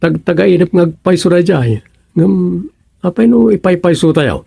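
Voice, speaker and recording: A man plays a talkative village schemer in a radio comedy, speaking colloquial Ilocano-accented lines. The voice sits a little high, husky and full of gravel. The sound is close and dry. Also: animated, measured pace, mellow, no echo, full character voice. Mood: persuasive. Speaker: radio drama actor. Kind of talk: comedic radio serial dialogue.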